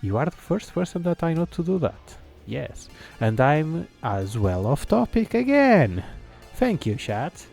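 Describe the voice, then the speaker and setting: A non-native English-speaking man thinks aloud as he codes on a live stream, filling pauses with hums and fillers. His voice is mellow and gravelly, and he talks slowly. Faint music plays behind him. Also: a little high, sing-song. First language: not English. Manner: thinks aloud, mutters to himself, frequent fillers. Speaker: game developer streamer. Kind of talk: casual live-stream coding chat.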